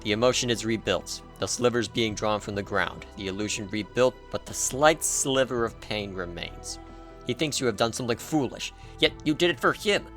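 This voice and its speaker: A man giving an intense, theatrical scripted narration of video game writing, with deliberate pauses. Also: lowish voice, a bit bright, soft background music.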